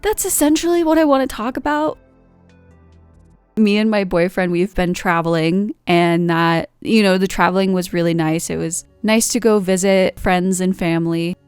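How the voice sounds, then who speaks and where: A woman's voice: fairly low pitch, moderate pace, a little mellow, clean tone, no echo, soft background music. A woman in her twenties from the United States, talking candidly and rambling through a reflective vlog monologue with lots of fillers. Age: 20s. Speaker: art vlogger.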